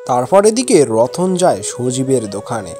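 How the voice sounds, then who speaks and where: A man's voice: medium pitch, big pitch swings, moderate pace, a little mellow. Male cartoon storyteller over background music. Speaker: story narrator. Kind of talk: scripted storytelling narration for a children's cartoon.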